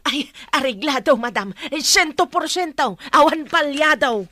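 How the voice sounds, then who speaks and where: A woman's voice: high-pitched, expressive, measured pace, bright, forceful tone, heavily acted. A woman playing a cheeky, gossipy household helper in a radio drama, chatty and exclaiming. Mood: eager, cheeky. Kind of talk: comic Ilocano radio drama acting.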